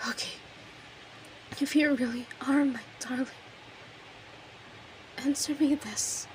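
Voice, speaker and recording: Woman performing an obsessive yandere woman, high voice, slow delivery, clingy, emotionally volatile, audio roleplay, some background noise. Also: fairly even intonation, low-quality recording. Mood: wary, hesitant. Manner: clingy, emotional, swings between breathy whispers and outbursts. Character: obsessive, unstable yandere kidnapper in her thirties, lovesick. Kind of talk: audio roleplay, intimate dramatic monologue.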